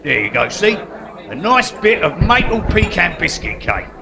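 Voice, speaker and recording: A man acting a chatty jailbird and would-be schemer. His high, creaky voice swoops about as he rambles. It is live stage comedy with a loud crowd. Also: sing-song, measured pace, full character voice. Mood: cheeky. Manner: rambling, colloquial, matter-of-fact. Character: cocky, chatty male guerrilla artist convict, petty schemer.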